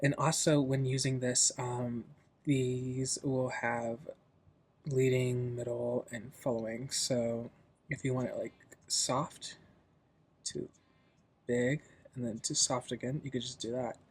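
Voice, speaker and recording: Casual man giving a software tutorial. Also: medium pitch, flat delivery, slow pace, husky. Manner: informal, loosely explanatory. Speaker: tutorial host.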